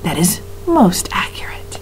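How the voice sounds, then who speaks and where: A woman voicing a sly male rat who speaks in soft, measured whispers. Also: medium pitch, sing-song, low-quality recording.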